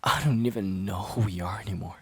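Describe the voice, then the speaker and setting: A man playing a worn-out, resentful boyfriend in an audio drama, pleading in a halting, strained way. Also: on the low side, animated, a bit bright, breathy edge, full character voice. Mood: anguished, heated. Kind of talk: dramatic audio roleplay monologue.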